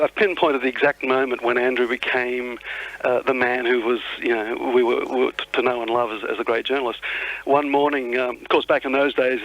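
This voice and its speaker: An Australian man in his forties reminiscing reflectively over the telephone in a measured, halting way. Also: medium pitch, gravelly. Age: 40s. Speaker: radio guest, journalist. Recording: dull lo-fi phone line.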